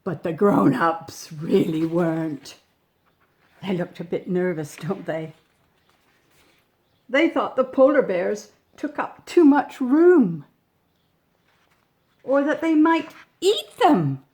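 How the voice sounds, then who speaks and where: A woman reading a storybook expressively to young children, gently chatting about the pictures as she goes. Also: mid-range voice, slow pace, long pauses. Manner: gentle, animated, pointing out pictures with questions to the listener. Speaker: storybook reader.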